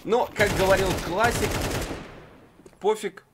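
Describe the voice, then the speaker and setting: A man's voice: high-pitched, fairly even intonation, slow pace, background noise. A man giving conversational live esports commentary in a wry, joking manner.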